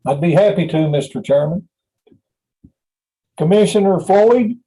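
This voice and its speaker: A man's voice: sits high, unhurried, warm tone, poor audio. A man working as a meeting official, formally calling a roll and tallying votes.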